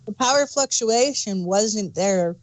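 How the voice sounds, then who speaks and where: A woman's voice: medium pitch, animated, slow pace, no room sound, poor audio. A woman reviewing in a casual podcast conversation, speaking in a blunt, matter-of-fact way. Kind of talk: casual review chat about an audio drama.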